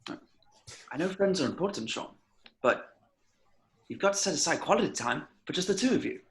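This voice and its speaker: Disapproving friend: slightly high male voice, very lively intonation, prim and preachy man at a table read. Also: normal speed. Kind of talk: informal table read of a film script.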